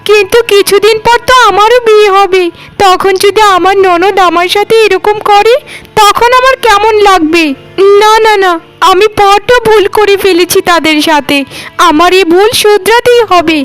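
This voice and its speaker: Very high, plaintive young village sister voiced by a woman. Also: normal speed, fairly bright tone. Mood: remorseful lamenting. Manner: plaintive, lamenting. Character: young unmarried village sister, guilt-ridden and pleading. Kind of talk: melodramatic cartoon dialogue. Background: faint music.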